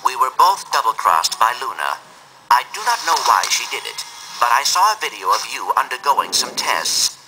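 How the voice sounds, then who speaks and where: An actor playing a small, polite, loyal robot sidekick in a video game, with precise, formal speech. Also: male voice, medium pitch, very animated, moderate pace, natural voice, low-quality recording. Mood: explaining. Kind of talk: video game cutscene acting. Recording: music behind.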